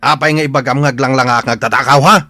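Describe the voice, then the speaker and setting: A man's voice: medium pitch, steady tone, very fast, sharp tone, dry recording, clean studio sound, natural voice. A man acting a bullying, suspicious villager in a radio drama, taunting and confrontational. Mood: accusing. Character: bullying, suspicious male villager, quick to accuse.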